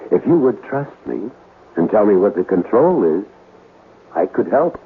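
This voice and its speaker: An actor playing a bold, questioning, stubborn ghost hunter in a radio drama. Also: male voice, fairly low pitch, sing-song, unhurried. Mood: earnest, probing. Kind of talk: 1970s radio drama acting. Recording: thin phone-like old radio recording, hiss.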